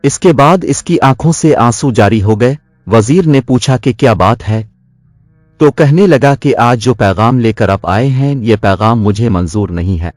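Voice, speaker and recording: A man narrating a scripted moral story as a storyteller, in a measured, earnest way. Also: mid-range voice, animated, darkish tone, no echo, clean studio sound.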